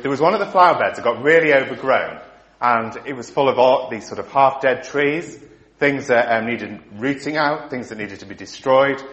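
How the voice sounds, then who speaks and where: A middle-aged man preaching a sermon, asking the listeners questions and dropping to soft endings, his voice giving out. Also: mid-range voice. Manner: rhetorical questions, emphatic rises and soft endings. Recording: echoey hall.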